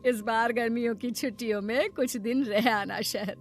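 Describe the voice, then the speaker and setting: A woman's voice: fairly high pitch, steady tone, normal speed, voice pushed down, close to an everyday voice. A woman voicing an indulgent village mother who explains things soothingly in a cartoon story. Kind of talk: Hindi moral-story cartoon dubbing. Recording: music behind the voice.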